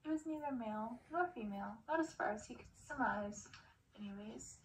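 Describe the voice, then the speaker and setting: A woman reading a fantasy storybook aloud as scripted ASMR narration, with a creaky voice. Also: a little high, brisk, a little hiss or hum, rough recording. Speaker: storybook reader, ASMR creator.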